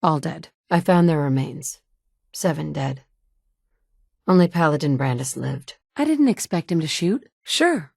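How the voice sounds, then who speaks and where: A woman's voice: low voice, animated, a little slow, gravelly, darkish tone, dry recording, studio quality, full character voice. A woman voicing a blunt, pragmatic survivor in a video game, speaking in terse, matter-of-fact lines. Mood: steady. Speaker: player character in a video game.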